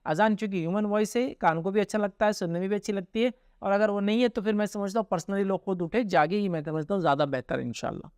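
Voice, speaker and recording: A man's voice: high voice, quick pace, few pauses, a little mellow, smooth, no room sound, studio quality. A man with an Indian accent answering religious questions informally as a scholar, explaining and often checking that listeners follow.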